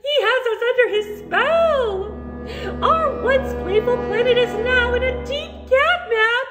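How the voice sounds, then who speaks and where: A squeaky, very high little cat from a space storybook, played by a woman, pleading earnestly and dramatically. The room echoes and music plays behind. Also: slow. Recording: roomy echo with music behind.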